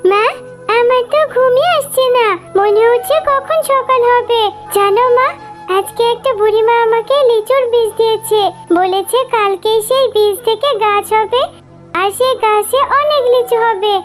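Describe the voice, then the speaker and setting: Very high-pitched little cartoon fox girl. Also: a little slow. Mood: curious, impatient. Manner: childlike, pleading, chatty. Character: little fox girl Mina, innocent, eager, pleading child. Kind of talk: Bengali children's cartoon voice acting. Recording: music playing behind the voice.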